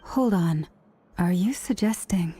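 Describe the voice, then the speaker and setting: An actress playing a composed, cautious, thoughtful woman in a video game, speaking gently and formally. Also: fairly low pitch, animated, slow, soft background music. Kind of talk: English video game voice acting.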